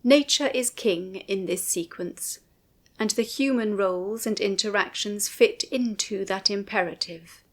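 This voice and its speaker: Woman reading a measured scripted commentary. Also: medium pitch. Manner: measured, formal, carefully phrased reading. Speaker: writer and narrator of a music commentary. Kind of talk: scripted narration.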